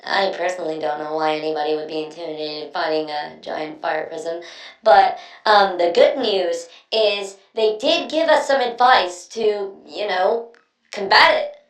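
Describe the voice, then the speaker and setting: A woman's voice: fairly low pitch, unhurried, natural voice. A woman voicing a friendly, earnest young female inventor in a video game, talking in a casual, chatty, slightly hesitant way. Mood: earnest, hopeful. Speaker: video game quest character. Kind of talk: scripted game voice lines, conversational. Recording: roomy, echoing, distant-sounding voice.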